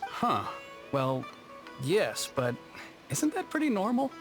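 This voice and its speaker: A man playing a puzzled, obliging city resident in a video game, casual and creaky-voiced. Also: high voice, wildly swooping pitch, slow pace, exaggerated voice. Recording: guitar music behind the voice.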